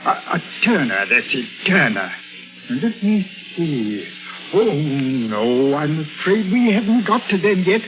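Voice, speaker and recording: Flustered, apologetic male shopkeeper, high voice, hesitant delivery, vintage radio play with music behind. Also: sing-song, slow, dull audio. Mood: flustered, polite. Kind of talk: 1950s radio drama acting.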